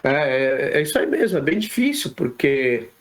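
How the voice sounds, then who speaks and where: A man from Brazil, a physiotherapist guest on a podcast, answering questions in a relaxed, conversational way with frequent tag questions and restarts. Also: medium pitch, moderate pace. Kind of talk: casual podcast interview answers.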